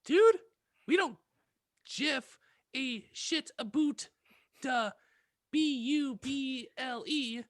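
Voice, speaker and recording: A woman voicing an irritable, crude teenage goth girl in a comic reading of fan fiction, pronouncing misspellings deliberately. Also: high-pitched, no echo. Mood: irritated.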